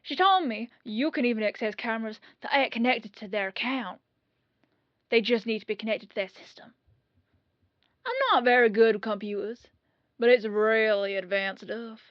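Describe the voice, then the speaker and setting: A woman's voice: fairly high pitch, moderate pace, dry recording, lo-fi sound, lightly acted. A woman playing a plain-spoken, breezy police detective lieutenant in an audio roleplay, talking in a casual, colloquial way. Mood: casual, explaining.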